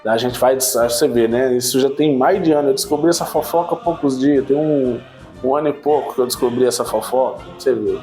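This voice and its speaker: A man in his thirties tells his own church story in a casual, talkative way, rising with excitement and then dropping low and quiet. His pitch sits in the middle range for a man. Soft music plays faintly underneath. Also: brisk. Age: 30s. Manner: animated storytelling, frequent "né?" tags, swings between excited and subdued. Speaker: church member giving testimony. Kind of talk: casual personal testimony.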